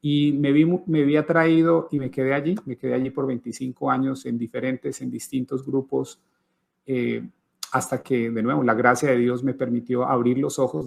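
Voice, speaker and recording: A man's voice: medium pitch, creaky. A middle-aged man from Colombia giving his personal conversion testimony in an interview, thoughtful, with frequent hesitations and restarts.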